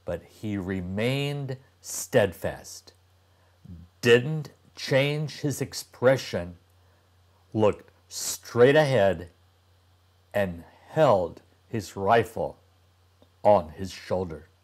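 A man in his sixties reading a storybook aloud to young children, deliberately and with dramatic pauses. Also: lowish voice, lively intonation. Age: older, about 60s. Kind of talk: expressive storybook narration.

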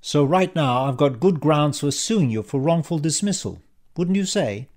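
A man voicing a cheeky male schemer in an audiobook, calm, confident and mockingly polite as he taunts. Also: on the high side, normal speed. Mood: smug. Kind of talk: audiobook character dialogue.

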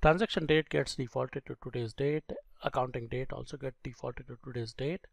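A male instructor with a creaky, gravelly voice walks through software step by step. His delivery is halting and explanatory, like a screen-recorded training lesson. The sound is dry and close. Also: medium pitch, steady tone, no echo.

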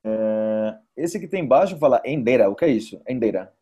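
A man's voice: mid-range voice, animated, normal speed. A young man with a Brazilian accent tutoring informally over a video call, explaining and repeating points for emphasis. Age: young adult. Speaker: Portuguese teacher. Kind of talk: informal one-to-one online tutoring. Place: indoor room, video call.

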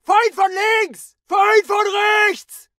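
A man's voice: very high voice, fairly even intonation, drawn-out words, bright, forceful tone, no echo, shouting, clean studio sound, full character voice. A man playing a battle-hardened German infantry soldier in a war video game, barking urgent combat lines. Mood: alarmed.

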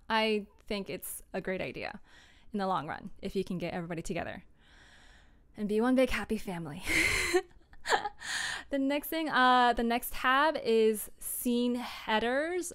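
A woman's voice: medium pitch, fairly bright tone, clean tone. A young adult woman chatting casually and informally as she explains her work process, with a giggly manner. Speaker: streamer and audio drama producer.

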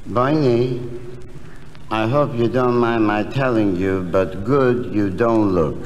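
A smug, unbothered man in a sixties comedy sketch. He speaks slowly and matter-of-factly, in a reverberant hall with clear noise. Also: fairly low pitch, a little mellow, lo-fi sound. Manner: matter-of-fact, self-satisfied. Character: smug, callous, relaxed man with an absurd hobby. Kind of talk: 1960s comedy album sketch acting. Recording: echoey, noisy hall with audience.

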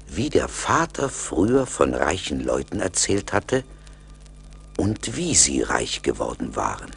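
An actor narrating a fairy tale for a 1980s radio play in a deliberate, expressive storytelling manner. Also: male voice, rumbling low, creaky, slight background noise, heavily acted. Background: music.

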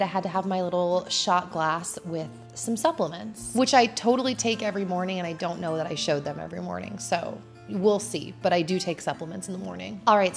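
A woman in her thirties, a vlogger chatting casually at home as she narrates her cooking. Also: lowish voice. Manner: chatty, laid-back, with casual fillers. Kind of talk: cooking vlog voice-over. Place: home, indoors.

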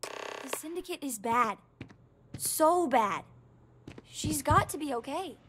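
A woman's voice: high-pitched, lively intonation, takes it slowly, noisy background. A woman voicing a plucky, stubborn young daughter in a video game, speaking in an earnest, insistent way. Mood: worried. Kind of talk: video game dialogue acting.

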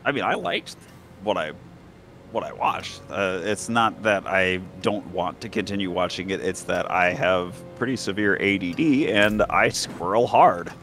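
A man's voice: low voice, expressive, a little slow, fairly bright tone, soft background music. A man chatting casually as a co-op gaming partner, talking in a hesitant, rambling way. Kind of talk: casual gameplay chat.

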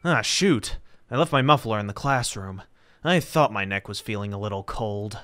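Man playing an anxious, lonely teenage schoolboy, animated delivery. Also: lowish voice, normal speed. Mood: eager, awkward. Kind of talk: dramatic manga reading.

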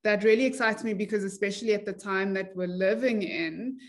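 A woman's voice: medium pitch, brisk. A young woman hosting a podcast interview over a video call, friendly and enthusiastic as she asks her questions. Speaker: podcast host.

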